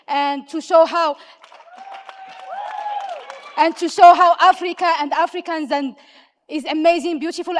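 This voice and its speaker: High-voiced woman from Morocco, echoing hall. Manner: proud, spirited. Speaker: audience member. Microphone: handheld microphone. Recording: long hall reverb.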